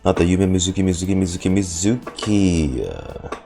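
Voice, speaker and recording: A man with a low voice gives casual gameplay commentary as a streamer. He is playful and profane, with faint game music behind him. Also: lively intonation, moderate pace, warm tone. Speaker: gaming streamer. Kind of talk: casual gameplay commentary and reading dialogue aloud.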